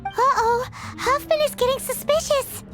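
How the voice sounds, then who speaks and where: A woman voicing a tiny, excitable childlike fairy companion in a video game, squeaky and exclamatory. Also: measured pace. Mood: panicked. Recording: loud music behind the voice.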